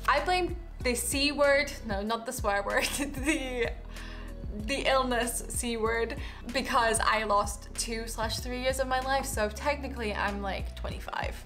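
Chatty young woman with a softened Yorkshire accent. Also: fairly high pitch, steady tone, measured pace, soft background music. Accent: mixed Yorkshire English. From Yorkshire, England. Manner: chatty, candid, softens to a quiet tone on personal topics. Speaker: streamer and content creator. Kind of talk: casual Q&A vlog to camera. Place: living room, indoors, seated on a sofa.

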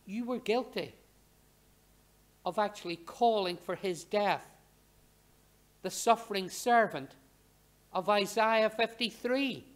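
A man in his sixties preaching a sermon from a church pulpit, speaking in short measured phrases with pauses and rhetorical questions. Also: high-pitched. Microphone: lectern microphone.

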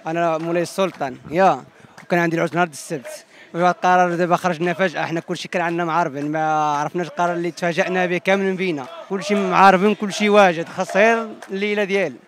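A young Moroccan man with a high voice talks very rapidly. He is making a complaint in an outdoor street interview. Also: steady tone, a little hiss or hum. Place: outdoors in a city square. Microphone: handheld reporter microphone.

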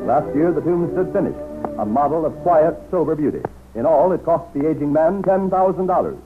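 Male vintage radio announcer-narrator, theatrical news style, raised pitch, narrow old broadcast sound. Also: lively intonation, fairly quick, soft background music. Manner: declamatory, dramatic. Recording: muffled 1930s radio broadcast recording.

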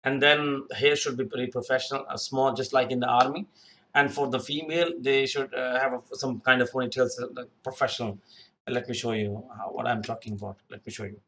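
A man's voice: medium pitch, fairly even intonation, brisk, bright, forceful tone. A young man giving unscripted interview advice over a screen recording, rambling and repeating himself with many fillers, recorded on a webcam in a small room. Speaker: youtube career advice host. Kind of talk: unscripted screen-share tutorial. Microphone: webcam in corner of screen recording.

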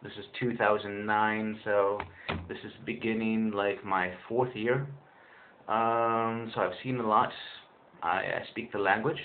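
A man's voice: on the low side, level tone, measured pace. A young man in his twenties talking to his webcam in a small room, rambling with lots of fillers and restarts. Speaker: vlogger, English teacher in China. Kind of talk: casual webcam vlog. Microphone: webcam built-in mic, close. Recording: tinny lo-fi webcam audio with faint hiss.

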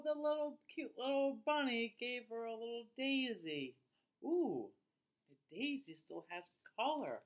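Very slow, high-pitched animated male storyteller. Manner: animated, exaggerated, drawn-out delivery with gasps. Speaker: teacher reading aloud to children. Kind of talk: children's picture-book read-aloud. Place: small indoor room, seated facing camera.

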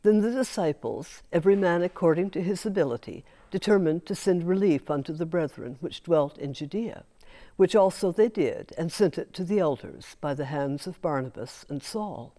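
Older woman reading a lesson, mellow tone. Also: on the low side, moderate pace. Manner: steady, plain reading from a page. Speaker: lay reader. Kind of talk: scripture reading. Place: large church sanctuary. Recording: long church reverb.

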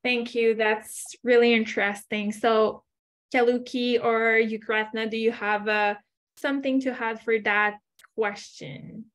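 A woman in her twenties hosts an online discussion. Her voice sits a little high, and she passes questions along informally over a video call. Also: little pitch movement, measured pace, a bit bright. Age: 20s. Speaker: moderator. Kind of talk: informal online panel moderating. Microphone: wired earphones on video call.

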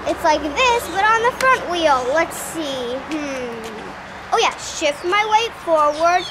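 A woman's voice: very high voice, sing-song, slow, heavy background noise, heavily acted. A woman voicing a cheerful young man doll who rides a motorcycle, upbeat and whiny when hurt, in a toy play video.

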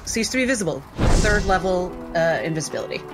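A man's voice: sits high, animated, brisk. A man playing a tabletop roleplaying game, talking casually through his moves. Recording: music bed under the voice.

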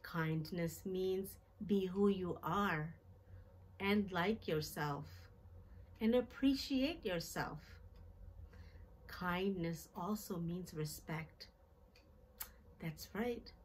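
A middle-aged woman hosting a children's storytime, reading a picture book aloud in simple, deliberate phrases and putting questions to her young listeners. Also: fairly low pitch, husky, poor audio. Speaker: children's storytime host and reader. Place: small decorated indoor room.

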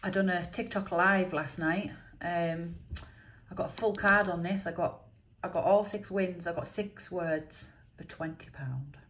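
Croaky woman scratching cards. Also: lowish voice, steady tone, unhurried, fairly bright tone. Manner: chatty, reads letters out one by one. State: croaky from a chest infection. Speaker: scratch card vlogger. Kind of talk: casual commentary. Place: indoors at a table.

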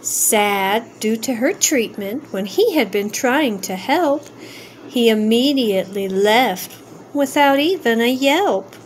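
Woman reading kids storybook, pretty high voice, really slow sing-song, noisy background. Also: lively intonation. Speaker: storybook reader. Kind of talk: expressive read-aloud children's story.

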